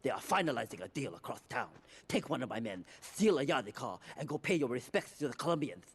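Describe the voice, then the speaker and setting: An actor playing a proud, severe Yakuza crime boss in a video game, formal and commanding, quick to anger. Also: male voice, mid-range voice, big pitch swings, husky. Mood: stern, commanding. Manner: formal, commanding, clipped orders. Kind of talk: dramatic video game cutscene acting.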